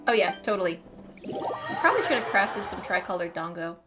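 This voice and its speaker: A woman's voice: lowish voice, big pitch swings, brisk, loud music behind the voice. A woman giving casual, chatty playthrough commentary with wry asides as a gaming host.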